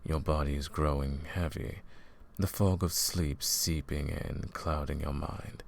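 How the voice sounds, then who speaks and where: A man playing a smug, scheming royal advisor and sorcerer in a fantasy audio roleplay, silky and condescending, with a gravelly voice. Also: very deep voice, fairly even intonation, slight background noise, exaggerated voice. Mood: hypnotic, gloating. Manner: silky, condescending, theatrical, drawn-out menacing phrasing. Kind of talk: fantasy audio roleplay, intimate villain monologue.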